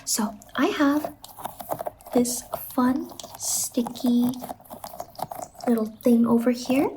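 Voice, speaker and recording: A woman playing a quirky, doting fantasy salon worker in an ASMR roleplay, speaking softly and slipping in and out of whispers. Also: a little high, extremely slow, background noise. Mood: chatty, whimsical. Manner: soft-spoken, drifting in and out of whispers. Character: Mazey, quirky doting female fever-dream salon worker.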